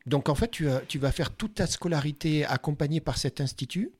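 A man's voice: a little high, expressive, brisk, a little mellow, dry recording, clean studio sound. A middle-aged man from Dordogne interviewing a guest at a job fair, chatty and enthusiastic, with many restarts. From Dordogne, France. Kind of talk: casual event interview. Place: quiet corner at a busy job fair.

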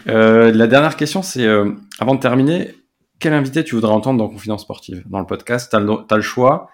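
A man's voice: medium pitch, fairly quick. A man hosting a sports podcast, upbeat and encouraging with his guest. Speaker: podcast host. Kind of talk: casual interview hosting.

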